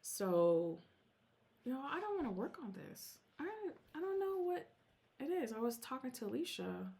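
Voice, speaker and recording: A woman's voice: high-pitched, big pitch swings, normal speed, vocal fry, darkish tone. A woman whose first language is Korean, chatting at home about her stitching projects in a rambling, self-interrupting way. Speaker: Flosstube vlogger. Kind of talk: casual show-and-tell vlog.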